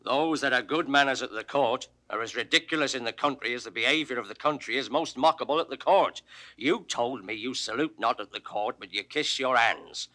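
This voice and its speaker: An actor playing a plain, honest country shepherd, earnest and rustic, in a classical Shakespearean audio drama. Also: male voice, mid-range voice, brisk, pushed and bright, natural voice. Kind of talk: classical Shakespearean stage acting.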